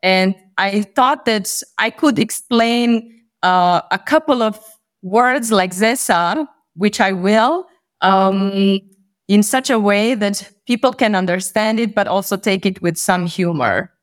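A woman from Bosnia and Herzegovina, a native Bosnian speaker, talking as an interview guest in a halting way with many pauses. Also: mid-range voice. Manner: fluent but halting, many ums and restarts. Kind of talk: conversational interview.

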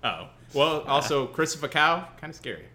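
A man's voice: a little high, expressive, moderate pace, bright, forceful tone. A young man with a Central Illinois accent co-hosting a casual podcast, joking and laughing often. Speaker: podcast co-host.

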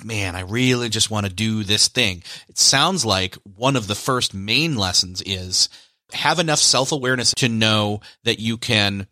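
A low-pitched male podcast host who talks in long, winding questions, restarting phrases as he thinks aloud. His voice is dry and close to the mic, with no room sound at all. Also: bright, forceful tone, no echo. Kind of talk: conversational interview and read ad.

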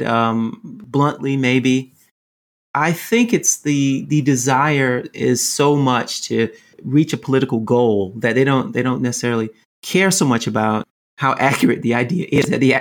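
A man speaking as an academic podcast guest in casual discussion, thoughtful, agreeable and hesitant, often repeating words. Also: medium pitch. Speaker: podcast guest, professor and researcher.

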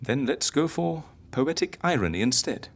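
A woman's voice: a bass voice, animated, moderate pace, heavily acted. An actress playing a confident, theatrical woman who fakes deaths for clients, lecturing and coaxing in an audio drama. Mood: measured, scheming. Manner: didactic, encouraging, philosophical.

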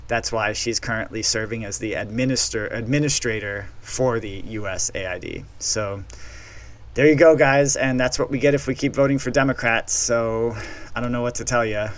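A man's voice: medium pitch, measured pace. A man hosting a solo podcast, talking casually and sounding worn out as he wraps up. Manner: casual, rambling, tired. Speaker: podcast host.

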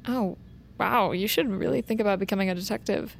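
A woman playing a guarded, sarcastic blind teenage girl in a fiction podcast, with a deadpan delivery. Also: mid-range voice, a little fast, vocal fry, slight background noise, natural voice. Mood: dry, sarcastic.

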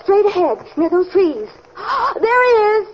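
An actress playing a teasing, jealous, complaining bride in an old-time radio drama, with an arch, wry delivery. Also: female voice, very high-pitched, big pitch swings, takes it slowly, exaggerated voice. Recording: dull, noisy old radio recording.

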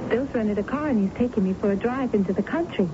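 A woman playing an earnest, uneasy, inquisitive young lady in a radio drama, enunciating clearly. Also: a little high, fast, background noise, lightly acted. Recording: muffled old radio broadcast with music.

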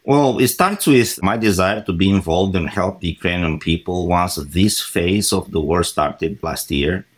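A man in his forties from Romania, a podcast guest giving measured, deliberate answers in an interview. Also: low voice.

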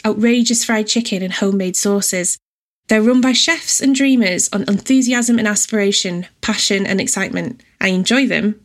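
A woman reads a scripted personal essay in a measured, descriptive storytelling manner. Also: mid-range voice. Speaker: writer and editor reading own essay.

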